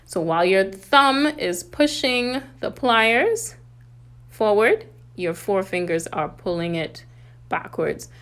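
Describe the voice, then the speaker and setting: A woman's voice: mid-range voice, big pitch swings, takes it slowly, a bit bright, clear, resonant tone. A woman from the Bahamas with a Bahamian accent hosts a casual, chatty how-to craft tutorial, talking through each step.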